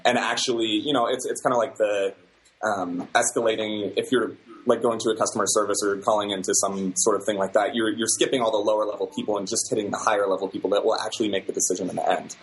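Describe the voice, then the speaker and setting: Low-voiced man explaining marketing tactics. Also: fairly even intonation, poor audio. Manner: measured, explanatory, with fillers. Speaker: guest.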